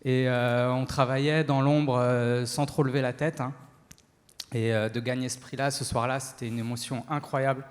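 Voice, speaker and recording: A man answers as an entrepreneur interviewed at a business talk. His delivery is halting, with many pauses, at a medium pitch. The hall gives his voice a long reverb. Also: flat delivery. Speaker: entrepreneur being interviewed on stage. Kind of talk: spoken testimony at a business talk event.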